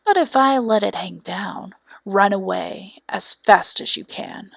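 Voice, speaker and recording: A woman voicing a cunning fox general in a storybook, measured and self-satisfied. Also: mid-range voice, creaky, a bit bright, dry recording, full character voice. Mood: smug instruction. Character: cunning male fox general with a bushy tail. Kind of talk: storybook character voice.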